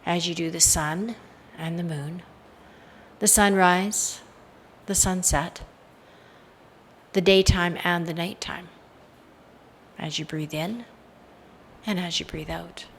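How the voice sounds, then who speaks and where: Low-voiced woman guiding meditation, gravelly fry. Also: steady tone, slow, pauses often, fairly bright tone, slight background noise. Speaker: guided meditation guide. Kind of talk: guided meditation, hypnotic induction.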